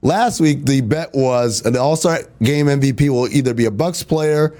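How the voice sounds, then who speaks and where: A man's voice: medium pitch, moderate pace, warm tone. A man hosting a sports talk show, playful and teasing, reading sponsor lines and often laughing.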